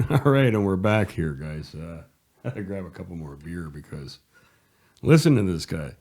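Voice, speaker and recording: A man's voice: low-pitched, big pitch swings, moderate pace, darkish tone. A man giving a crude, mocking, exasperated reaction commentary in a casual, profane style. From Canada. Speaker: commentator reacting to a press conference.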